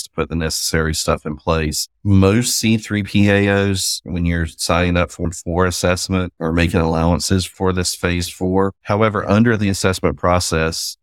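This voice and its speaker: A man's voice: low voice, no room sound, clean studio sound. A man with a Southern US accent, a guest on an interview, explaining a process step by step in a methodical, plainspoken way with folksy asides. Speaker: guest, cybersecurity assessor.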